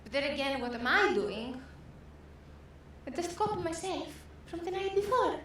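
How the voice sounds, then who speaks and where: A woman's voice: high-pitched, very animated, moderate pace. A woman with a Greek accent telling a stand-up comedy story. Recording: very echoey room, clear background noise.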